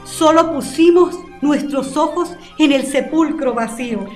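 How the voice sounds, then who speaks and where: A woman's voice: high-pitched, unhurried. A woman playing a frightened, earnest witness in a radio courtroom drama, nervous and deferential. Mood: nervous, awed. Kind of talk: dramatic radio play acting. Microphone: studio microphone. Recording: roomy sound with music behind.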